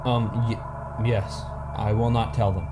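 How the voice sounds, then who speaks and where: A man playing an anxious, respectful villager in an animated fan dub, earnest and hesitant. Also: lowish voice, takes it slowly. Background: music.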